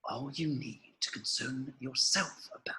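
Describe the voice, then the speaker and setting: An actor playing a condescending, menacing aristocratic wizard, drawling and dropping into whispers in a table read. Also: male voice, mid-range voice, a little slow, bright, forceful tone, a little hiss or hum. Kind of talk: amateur online table read of a screenplay.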